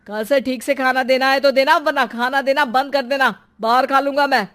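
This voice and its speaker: A man's voice: very high-pitched, fairly even intonation, normal speed, pushed and bright, smooth. A man playing a bullying middle-aged husband and father in a dramatised story, scolding with contempt. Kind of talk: dramatised Hindi moral story.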